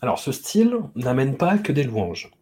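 A man's voice: mid-range voice, sing-song, moderate pace, warm tone, slightly rough. A man hosting a casual podcast discussion, speaking in articulate, literate phrases. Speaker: podcast host.

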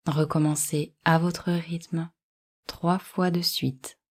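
A woman guiding a yoga nidra relaxation in calm, measured short instructions. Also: low-pitched. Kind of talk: guided relaxation meditation.

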